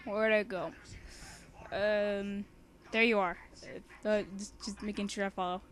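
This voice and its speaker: A woman's voice: medium pitch, level tone, unhurried. A young woman chatting casually as she reads a story aloud, with a giggly, rambling, informal manner. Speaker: fan fiction author and reader.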